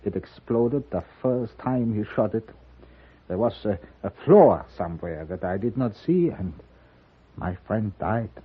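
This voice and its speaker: An actor playing a frail, fearful old German gunsmith turned storekeeper in a radio western, speaking with a German accent in halting, old-world phrasing. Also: male voice, fairly low pitch, expressive, breathy edge. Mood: sorrowful. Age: older. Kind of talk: 1950s radio western acting. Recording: thin narrow-band old radio recording.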